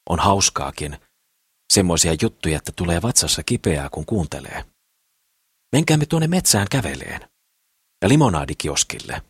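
A man's voice: sits low, slightly rough, no room sound, studio quality, exaggerated voice. A man voicing an eager, boastful, simple builder in love, rambling and slangy, in an audiobook. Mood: eager, boasting. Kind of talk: audiobook character voice.

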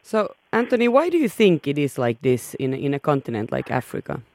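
An interviewer with a lively, rising and falling delivery asks personal questions, stumbling and restarting words along the way. The voice sounds dry and close, without any room echo. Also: medium pitch, fairly quick.

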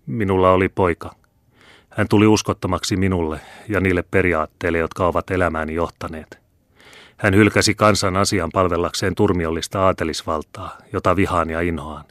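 Man reading as stern old peasant patriarch, very deep voice, dry close sound, thundering declamation. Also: fairly even intonation, fairly bright tone, no echo. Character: old male peasant patriarch, proud and wrathful.

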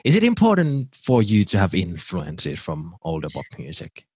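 A man's voice: sits low, wildly swooping pitch, normal speed, darkish tone, husky, no room sound, clean studio sound. A young man with a Finnish accent interviewing a singer, polite and hesitant with many fillers. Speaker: radio host, interviewer. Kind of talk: interview questions in English.

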